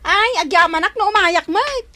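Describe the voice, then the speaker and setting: Very shrill, excitable village sister. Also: female voice, moderate pace, no echo, full character voice. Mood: giddy, teasing. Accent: Ilocano. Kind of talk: Ilocano radio drama acting. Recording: dry studio, music underneath.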